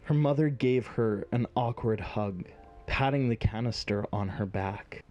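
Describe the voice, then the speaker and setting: A man's voice: fairly low pitch, measured pace, darkish tone, dull audio, faint music behind. A man narrating a scripted fantasy story in an audio drama.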